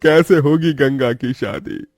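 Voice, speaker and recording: A man's voice: mid-range voice, measured pace, darkish tone, dry recording, natural voice. A man playing a poor old farmer in a cartoon moral story, a worried father who speaks in a humble, plaintive, lamenting way. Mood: anguished lament.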